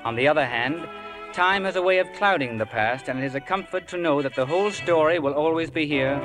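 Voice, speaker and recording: An earnest, reflective young gentleman acted by a man narrates a 1950s radio play. His slightly high voice tells the tale in formal, literary phrases, with clear music behind it. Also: fairly quick, close to an everyday voice, low-quality recording.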